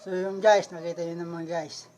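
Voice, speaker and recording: A woman's voice: lowish voice, little pitch movement, normal speed, a little mellow. A woman with a Filipino accent hosting a puppet show vlog, chatting casually to viewers. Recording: dull lo-fi audio.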